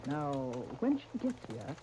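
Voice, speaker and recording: An actress playing a vicious, scheming woman who gives commanding, deliberate orders in a 1930s radio soap opera. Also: low-pitched, sing-song, heavily acted. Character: vicious scheming woman plotting to frame a family. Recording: muffled, noisy 1930s radio recording.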